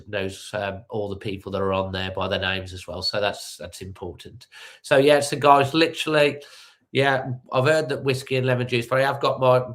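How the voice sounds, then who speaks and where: A man's voice: lowish voice, fairly bright tone. A middle-aged man with a stuffy nose from a cold, hosting a casual fan-channel live update with many ums and restarts.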